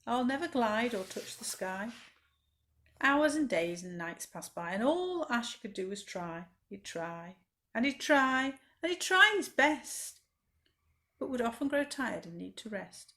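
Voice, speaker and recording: A woman's voice: fairly high pitch, sing-song, a little slow, pushed and bright. A woman reading a rhyming children's story expressively, with dramatic emphasis, as a library storyteller.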